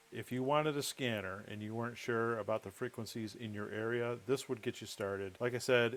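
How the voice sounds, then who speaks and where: A man explaining things step by step in a casual, matter-of-fact way, as in a hands-on how-to video. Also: medium pitch. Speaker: presenter of a how-to electronics video.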